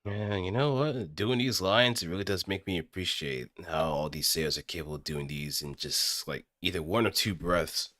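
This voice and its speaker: A man chatting casually on a livestream, musing in a halting way as he thinks aloud. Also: low-pitched, a bit bright, dry recording, clean studio sound. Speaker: streamer practicing voice acting.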